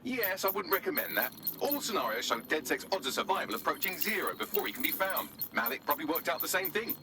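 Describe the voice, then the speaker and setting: An actor playing a smug, sardonic, foul-mouthed AI assistant in a video game, glib and wordy. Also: male voice, on the high side, sing-song, quick pace, vocal fry, over music. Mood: glib briefing. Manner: glib, mocking, dryly wordy.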